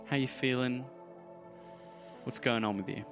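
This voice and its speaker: A man's voice: mid-range voice, steady tone, measured pace. A man narrates a tabletop role-playing game as its game master. His mid-range voice is theatrical and descriptive, telling the story over background music. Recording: music behind the voice.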